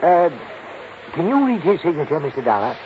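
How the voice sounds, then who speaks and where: An actor playing a deferential, hesitant male hotel clerk in a 1950s radio drama, polite and halting. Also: on the high side, very animated. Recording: muffled, noisy 1950s radio recording.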